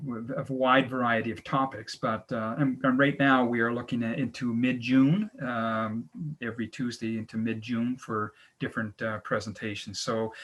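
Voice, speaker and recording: A middle-aged man from Canada, hosting an online travel presentation, talking haltingly with many fillers and restarts. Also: medium pitch, a bit bright. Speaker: travel agency owner and webinar host.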